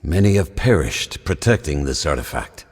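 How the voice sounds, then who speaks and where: Grave old-world professor, low voice, solemn formal game narration, long echoing reverb. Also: male voice, big pitch swings, moderate pace, slightly rough, heavily acted. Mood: solemn, urgent. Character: grave, scholarly male professor guiding survivors.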